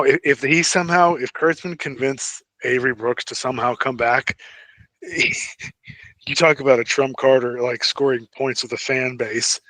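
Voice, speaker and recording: A man co-hosting a podcast in casual fan chat, thinking aloud in a halting way. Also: mid-range voice, fairly bright tone, no room sound, poor audio. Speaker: podcast co-host.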